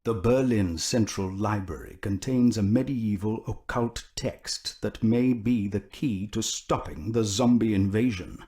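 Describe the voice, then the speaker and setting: A grim video game announcer who briefs the player on character dossiers and missions. His voice sits a little low, and he takes each line at an unhurried, heavy pace. The tone stays grave and ominous throughout. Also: warm tone, slightly rough. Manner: slow, grave, ominous delivery. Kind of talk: scripted game narration.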